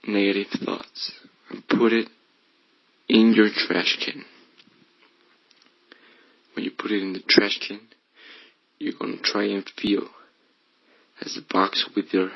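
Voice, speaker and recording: Male meditation guide, low pitch with gravelly fry, hushed delivery, slightly muffled recording. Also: no room sound. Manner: hushed, breathy, often slipping toward whisper. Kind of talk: guided meditation, soothing instruction.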